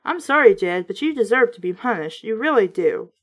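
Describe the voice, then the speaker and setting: An indignant young girl from a spooky children's storybook, read out by a woman. Her voice sits a little high, and her delivery is dramatic and full of protest. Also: sing-song, fairly quick. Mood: firm, scolding.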